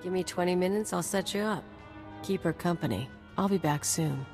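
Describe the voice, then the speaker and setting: A woman's voice: lowish voice, normal speed, lightly acted. Woman acting a brash, sardonic mercenary, echoing reverb. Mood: cocky. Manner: sardonic, cocky, slangy. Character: brash, scheming, vindictive female mercenary companion. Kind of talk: video game voice acting. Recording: long reverb, music behind.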